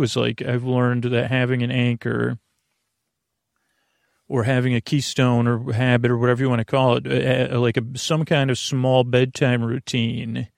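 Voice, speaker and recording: A man with a heavily creaky, fry-laden voice delivers a meandering bedtime monologue as a podcast host. He speaks haltingly, drifting into digressions and circling back. The sound is very dry, with no room around the voice. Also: mid-range voice, dry recording.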